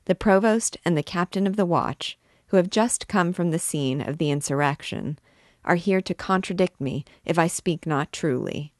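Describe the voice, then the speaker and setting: Spiteful court barber, voiced by a woman, cold and deliberate, dry close sound. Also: lowish voice, no echo, lightly acted. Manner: cold, measured. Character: spiteful male royal barber, cold and sly.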